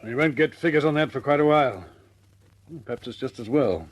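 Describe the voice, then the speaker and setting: A man acting in a radio drama as a senior British air force commander, measured and businesslike, with a clipped, understated delivery and a British accent. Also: mid-range voice, animated, close to an everyday voice. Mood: grave, businesslike. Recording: noisy old radio recording with clicks.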